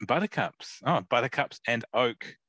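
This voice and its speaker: A man's voice: medium pitch, normal speed, pushed and bright, no room sound. A man co-hosting a podcast, describing photos in a hesitant way, full of ums and easy laughs. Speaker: podcast co-host. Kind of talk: casual chat describing photos.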